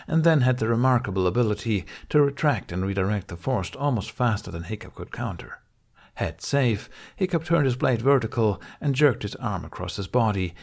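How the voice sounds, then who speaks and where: A man's voice: lowish voice, vocal fry, a little mellow. A man reading a fantasy adventure story aloud as a scripted audiobook narration.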